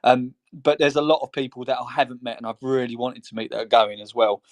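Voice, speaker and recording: A man's voice: mid-range voice, little pitch movement, quick pace. A man co-hosting a podcast in casual chat, talking in a rambling, chatty way and laughing between phrases. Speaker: co-host.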